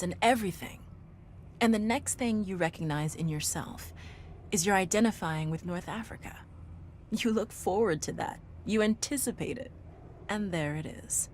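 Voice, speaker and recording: A woman narrating a reflective monologue in an earnest, deliberate way, in short dramatic phrases. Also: mid-range voice, a little hiss or hum.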